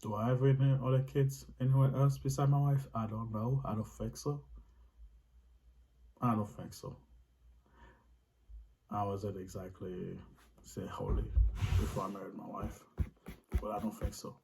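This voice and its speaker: A man's voice: lowish voice, steady tone, moderate pace, vocal fry, long pauses, mellow, low-quality recording. A man with a Haitian accent, earnestly explaining his faith in casual talk, restating his points for emphasis.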